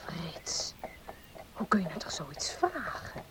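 Lively, refined young lady. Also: lowish voice, slow, in a whisper. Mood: sensible, reproving. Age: young adult. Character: refined, sensible young lady of the house, gently hesitant. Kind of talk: radio drama acting.

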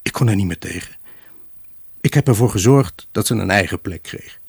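A lonely, grieving husband tells his story in an intimate radio play. His low voice is husky and dryly recorded, close and without room sound. He broods and halts, raw with obsessive longing for his wife. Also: dry recording, heavily acted. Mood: brooding, wistful. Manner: brooding, halting, emotionally raw. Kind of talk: Dutch radio drama monologue, intimate first-person narration.

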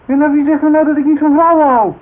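A man's voice: very high-pitched, steady tone, quick pace. An actor playing a vain, touchy, boastful young man who blusters defensively in a Dutch radio drama. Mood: indignant, flustered. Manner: defensive, blustering, stumbling over his words. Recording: tinny band-limited radio recording, faint hiss.

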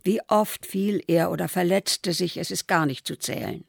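An elderly mother in a radio play, looking back on her son in a measured, matter-of-fact way. Her low voice is gravelly and husky, recorded very dry. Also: no echo, heavily acted. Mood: sober recollection. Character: aging mother soberly recalling her sickly, troubled son.

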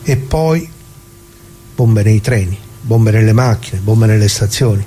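A man's voice: on the low side, unhurried, warm tone, slight background noise, close to an everyday voice. An actor playing a plain-spoken left-wing worker who recalls the past in a colloquial theatrical monologue, putting questions to his listeners. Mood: bitter, questioning. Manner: conversational, rhetorical questions to listeners. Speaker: narrator of radio drama.

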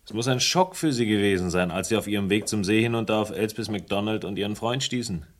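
A sardonic male accuser acted by a man in a radio crime drama, speaking in a low voice. His phrasing is precise, dry and pointed as he persistently builds a case for murder. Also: fast talker, lightly acted. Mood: firm accusation.

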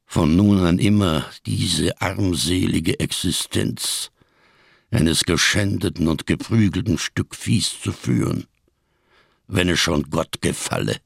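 Gravelly, slow, scarred old-world survivor. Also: male voice, sits low, no echo, clean studio sound, full character voice. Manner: solemn, declamatory, bitterly ironic speech. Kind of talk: German radio crime drama acting.

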